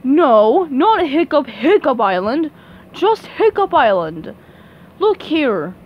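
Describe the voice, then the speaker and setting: A woman puts on a crusty old-salt crab boss for a cartoon. He talks in slow pirate diction with lively swings, gruffly paternal and ominous. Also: high voice, exaggerated voice. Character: crusty male crab restaurant boss with sailor talk. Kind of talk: hobby cartoon comic dub.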